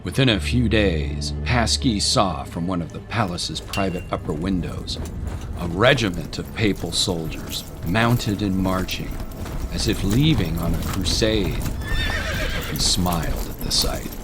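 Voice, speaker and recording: A man's voice: low-pitched, unhurried, background noise. A man narrating a scripted dramatic story.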